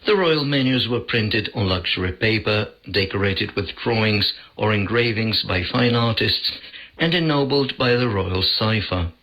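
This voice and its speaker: A man presenting a scripted radio feature in a formal, measured way, heard on a shortwave broadcast. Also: mid-range voice, pushed and bright, poor audio. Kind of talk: scripted radio feature narration with translated voice-over.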